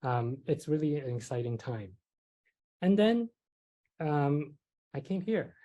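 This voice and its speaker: Mellow-voiced man giving a lecture. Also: fairly high pitch, animated, takes it slowly, lo-fi sound. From Hong Kong. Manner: conversational, many fillers, light self-deprecating laughs. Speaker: psychiatry professor. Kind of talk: academic lecture with personal stories. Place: lecture hall.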